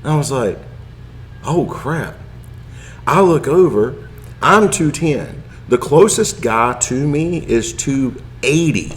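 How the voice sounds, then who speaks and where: A man's voice: mid-range voice, expressive, takes it slowly, slight background noise. A man chatting casually as a podcast guest, telling wry, self-deprecating anecdotes.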